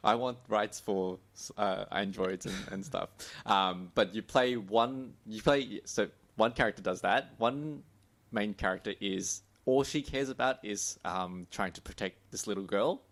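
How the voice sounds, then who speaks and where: A man co-hosting a podcast, explaining things casually in a halting way, often repeating words as he goes. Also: fairly low pitch, fairly bright tone. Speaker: podcast co-host.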